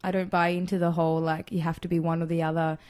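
A woman in her twenties from Chile, a podcast guest talking in a relaxed, thoughtful way with many fillers. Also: fairly low pitch, quick pace. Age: 20s. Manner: thoughtful, filler-heavy, laughs easily. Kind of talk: casual interview chat.

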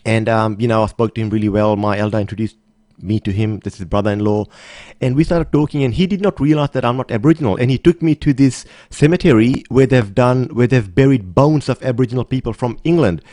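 Male interview guest. Quick, emphatic anecdotal delivery with repeated phrases, very dry sound. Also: mid-range voice, mellow, no room sound. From Australia. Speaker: podcast guest, motivational speaker. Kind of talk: conversational interview, personal anecdotes.

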